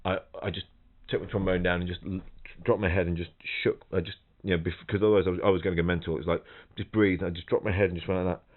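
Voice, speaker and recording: A man's voice: low-pitched, fairly even intonation, racing, hardly any pauses. A middle-aged man, a professional trombonist, chatting casually and telling anecdotes, often restarting sentences and mimicking people and rhythms. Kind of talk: casual podcast chat, anecdotes. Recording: thin phone-like audio.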